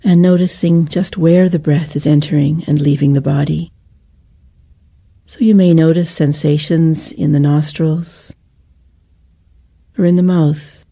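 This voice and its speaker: Very dark, mellow woman guiding meditation. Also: sits low, steady tone, gravelly, breathy edge. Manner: soothing, measured, gently pausing phrasing. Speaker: meditation guide. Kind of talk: guided meditation.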